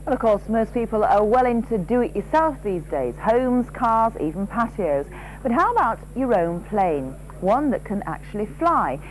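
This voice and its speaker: A woman's voice: fairly high pitch, big pitch swings, a little slow. A woman presenting a regional news programme with scripted, conversational links. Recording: noisy old TV broadcast, faint hum.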